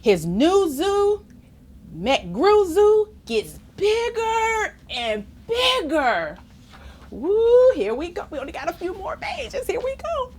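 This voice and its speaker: A woman reading a rhyming children's book aloud in an expressive, theatrical way, with chatty asides to young listeners. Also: extremely high pitch, takes it slowly, a little hiss or hum. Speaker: storybook reader.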